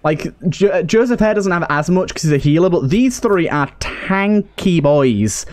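A man's voice: sits high, animated, unhurried, darkish tone. A man hosting a gaming series, giving casual let's-play commentary into a close mic.

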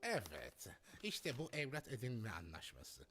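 A man voicing a shifty, impatient lawyer in a dubbed cartoon, officious and hurried. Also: mid-range voice, wildly swooping pitch, music in the background. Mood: businesslike. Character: shifty, impatient adult male adoption lawyer.

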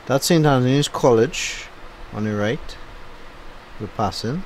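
A man with a Trinidadian accent giving a low-key, unenthusiastic running commentary while driving. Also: measured pace, slight background noise, rough recording. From Trinidad and Tobago. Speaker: driving vlogger. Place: inside a moving car.

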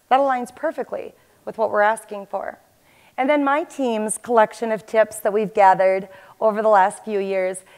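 A woman in her forties, an agile coach, giving an animated conference talk in a large hall on a headset mic. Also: on the high side. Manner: animated, gestures while talking to the audience. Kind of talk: conference presentation.

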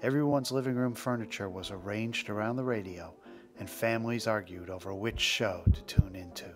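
A man with a fairly low voice hosts a podcast in a scripted narration style. Music plays clearly behind him as he talks. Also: normal speed. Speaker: podcast host. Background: background music.

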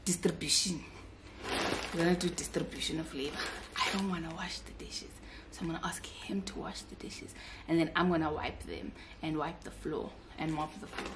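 A woman's voice: sits low, normal speed, in a whisper. A woman in her twenties chatting casually to camera as a home vlogger, musing aloud in an informal way. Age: 20s. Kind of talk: casual vlog chat to camera. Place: living room of an apartment, indoors. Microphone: camera at arm's length. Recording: clearly noisy home recording.

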